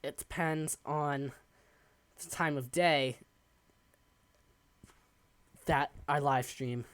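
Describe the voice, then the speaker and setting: Young male streamer, hoarse and raspy, slow rambling chat, dry close sound. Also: fairly high pitch, fairly even intonation, fairly bright tone, no room sound. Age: young adult. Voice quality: raspy from coughing. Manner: rambling, halting, many ums. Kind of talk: casual live-stream chat while building. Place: home desk.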